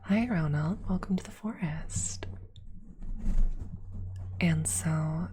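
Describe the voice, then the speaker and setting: A woman streamer telling a story in a soft, breathy ASMR style, speaking haltingly and often slipping into a whisper close to the microphone. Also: deep voice, noisy background. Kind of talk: soft-spoken ASMR storytelling to a live chat.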